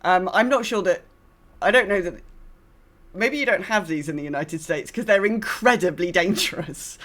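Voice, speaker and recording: A woman's voice: on the low side, animated, normal speed, fairly bright tone. A British woman hosting a podcast in casual chat, rambling and hesitant as she talks. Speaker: podcast host.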